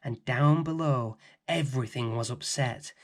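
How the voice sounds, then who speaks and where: A man reading a children's bedtime story aloud as host, in an expressive, dramatic, theatrical manner. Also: mid-range voice. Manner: dramatic, theatrical, deliberate phrasing.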